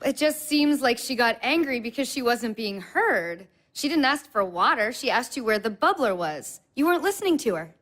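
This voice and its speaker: A guarded, earnest young woman on a blind date, played by an actress in an audio drama. She speaks softly and a little oddly, with a high voice that rises and falls a lot. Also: sing-song, normal speed, hardly any pauses. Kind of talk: audio play acting.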